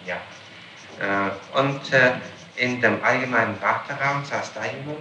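A man's voice: mid-range voice, bright, forceful tone. Insistent male prosecutor on noisy, echoey tape. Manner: halting, insistent. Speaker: prosecutor questioning a suspect. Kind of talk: formal interrogation.

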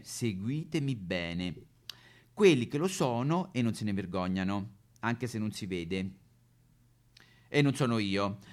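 Man playing a coy gossipy neighbour, swooping pitch. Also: lightly acted. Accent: Roman. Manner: coy, gossipy, with giggles and verbal tics. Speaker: solo stage performer. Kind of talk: theatrical comic character monologue.

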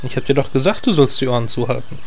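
A man's voice: mid-range voice, animated, fast, very noisy, lightly acted. A man voicing a dry, sarcastic male druid in a game parody radio play.

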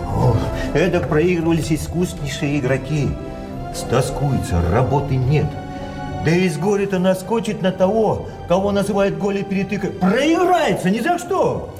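An actor playing a confident, philosophizing card sharp with emphatic, rhetorical delivery in an audio play. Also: male voice, high voice, expressive, normal speed. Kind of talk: theatrical audio play acting. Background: music.

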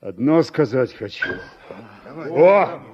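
An actor playing a modest, kindly senior combine team leader with halting, unpolished speech in a Soviet radio drama. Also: male voice, mid-range voice, sing-song, noisy background. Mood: bashful. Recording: dull old radio recording.

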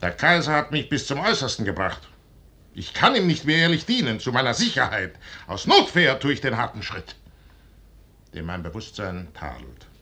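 An actor playing a proud, brooding middle-aged general in a 1950s radio play, declaiming in a weighty, solemn stage manner. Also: male voice, huge pitch swings, pushed and bright, breathy edge, exaggerated voice. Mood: agitated. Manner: declamatory, weighty, solemn delivery with measured pauses. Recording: noisy 1950s radio recording with rumble.

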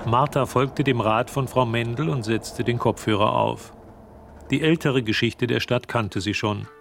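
A man's voice: mid-range voice, unhurried, vocal fry. A man reading scripted narration as the storyteller of a radio drama. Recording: faint background noise.

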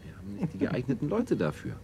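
A man playing a sober colony official in a German science fiction radio play, speaking in a deliberate, practical way. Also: medium pitch, huge pitch swings, vocal fry, dark tone, a little hiss or hum. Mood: matter-of-fact. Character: sober, matter-of-fact male colony official among scientists.

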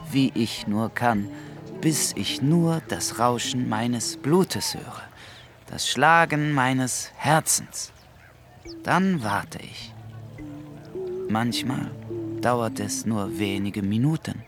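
An actor playing a melancholy, romantic Congolese gentleman in a radio play, speaking deliberately and reflectively with dramatic pauses. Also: mid-range voice.

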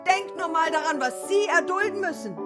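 An actress playing a young, sinewy gymnastics instructor in a radio play, barking commands and rhythmic counts. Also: female voice, very high voice, lively intonation, moderate pace. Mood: exhorting, bossy. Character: young sinewy female telescreen gymnastics instructor, brisk and bossy. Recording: loud piano music behind.

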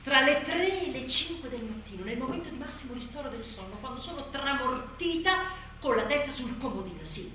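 A woman's voice: sits high, expressive, brisk. An actress playing an exhausted, exasperated new mother in a bitterly funny, dramatic confessional stage monologue. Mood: hushed, wry. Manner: dramatic, sardonic, swinging from muttered to shouted. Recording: roomy distant theatre sound, faint noise.

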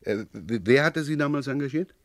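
An actor playing a polite but persistent, dryly sceptical police inspector in a German crime radio play, unhurried and given to hesitant repetitions. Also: male voice, medium pitch, no echo, close to an everyday voice. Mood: politely probing.

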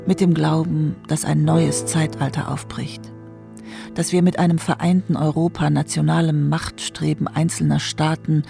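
An actor plays a hopeful, idealistic narrator of post-war Germany. The voice has a strong creak and speaks earnestly over a clear music bed in a radio drama. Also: medium pitch, dark tone, natural voice. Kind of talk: scripted radio drama monologue.

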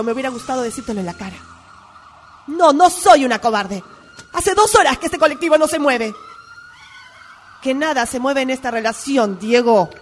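A woman acting a defensive, exasperated girlfriend arguing by phone in an Argentine accent, in a radio drama. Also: sits high. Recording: amateur workshop recording, sirens and traffic noise.

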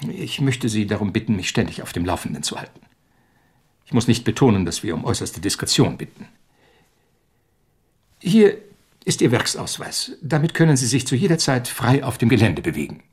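A middle-aged company executive in a radio play. His voice is husky and medium in pitch. He is formal and businesslike, pressing his points with insistence. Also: big pitch swings, normal speed, long pauses. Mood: grave, urgent. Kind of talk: radio drama dialogue.

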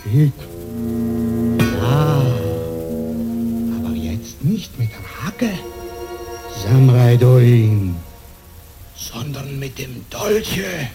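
A man's voice: medium pitch, sing-song, full character voice. An actor playing a menacing male dream spirit, a tormenting evil conscience, in theatrical radio play style with a commanding, incantatory delivery. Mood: relentless. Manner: slow, commanding, incantatory, with hissed whispers. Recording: dramatic music behind the voice.

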